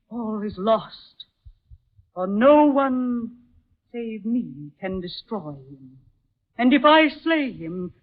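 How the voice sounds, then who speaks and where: An actress playing a solemn, regal ancient spirit empress in a young woman's body, declaiming formally in old-time radio drama style. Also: medium pitch. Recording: muffled, narrow-band vintage radio recording.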